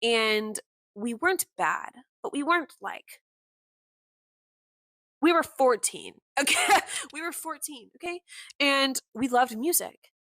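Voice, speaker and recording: A young woman hosting her own podcast, with a warm voice that is deeper than most women's, talking candidly and with passion, full of "like" fillers and the odd swear word. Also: animated, takes it slowly, long pauses, no echo, studio quality. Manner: candid and impassioned, with frequent "like" fillers and mild swearing. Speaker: podcast host. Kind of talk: casual personal monologue.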